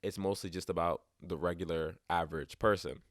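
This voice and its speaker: Deep-voiced young man, stuffed up. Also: steady tone, a little fast, no room sound, studio quality. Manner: rambling, many restarts and fillers. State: stuffed up, sniffly. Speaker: podcast host. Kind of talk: casual solo podcast talk. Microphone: close podcast mic.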